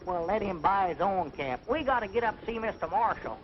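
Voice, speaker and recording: An actor playing a naive old country bumpkin with a rural Southern American accent, folksy and literal-minded, in an old-time radio comedy. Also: male voice, high voice, expressive, brisk. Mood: puzzled. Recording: dull, hissy old radio recording.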